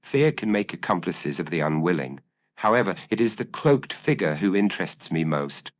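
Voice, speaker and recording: A man voicing a shrewd, confident gentleman detective in an audio story, speaking precisely and formally. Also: low-pitched, sing-song, moderate pace. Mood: grave, certain.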